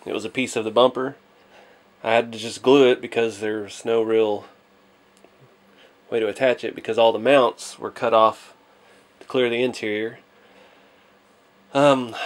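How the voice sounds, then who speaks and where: A man gives an informal, hands-on commentary in the manner of a hobby video host. His pitch sits in an ordinary middle range for a man. He thinks aloud as he works, explaining each step in plain, casual words.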